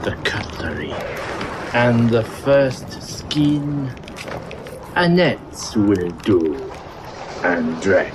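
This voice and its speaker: Man playing a very slow, menacing ancient vampire. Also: on the low side, big pitch swings, full character voice. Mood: gloating menace. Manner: theatrical, menacing, pompous. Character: ancient male vampire sorcerer, cruel, grandiose villain. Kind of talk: audio drama acting. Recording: loud background noise.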